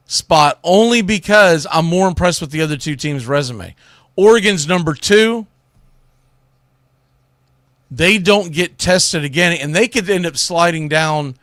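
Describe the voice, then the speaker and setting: A man with a slightly high-pitched voice delivers a sports radio monologue in a dry, close recording. He is conversational and opinionated, leaning hard on key words and pausing for effect. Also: a bit bright, no echo. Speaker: sports radio show host. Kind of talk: casual sports commentary monologue.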